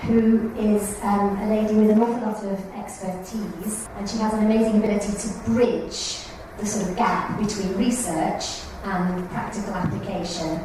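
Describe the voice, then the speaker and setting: A woman facilitating a support group meeting, speaking in a measured way with plenty of pauses and ums. She sits in the middle range for pitch. The recording is distant and very echoey, with clear room noise underneath. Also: fairly even intonation. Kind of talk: meeting announcements and speaker introduction. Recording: echoey room, far mic, noisy.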